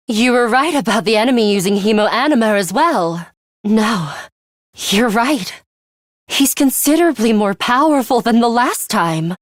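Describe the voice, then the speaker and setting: A woman's voice: on the high side, no room sound, clean studio sound. A woman voicing a cold, ruthless young female fighter in an English video game, composed, blunt and commanding, with a bloodthirsty streak.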